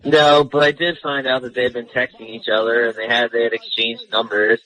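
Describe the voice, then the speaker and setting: A man's voice: mid-range voice, little pitch movement, a little fast, bright, forceful tone, rough recording. A young man calling a radio show, telling a personal story in a rambling, slangy, casual way.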